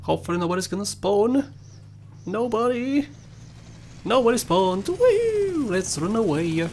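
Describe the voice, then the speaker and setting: A man with a Bulgarian accent narrates his gameplay in a loose, chatty way, laughing often and teasing himself. His voice sits high for a man. A faint hiss runs under the recording. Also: very animated, unhurried, darkish tone. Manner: chatty, laughs often, playful self-mocking. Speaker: gaming YouTuber. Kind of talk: casual gameplay commentary.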